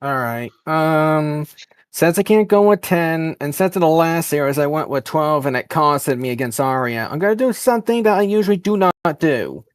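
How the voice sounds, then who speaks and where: A man competing in a game match, explaining his choice casually and deliberately to the commentators. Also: a little high, animated, clean tone, no echo, studio quality. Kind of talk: casual spoken announcement to commentators.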